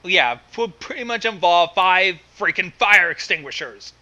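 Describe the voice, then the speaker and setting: A woman's voice: lowish voice, animated, unhurried, sharp tone, dull audio. A woman reacting to a story reading with exasperated, sardonic commentary.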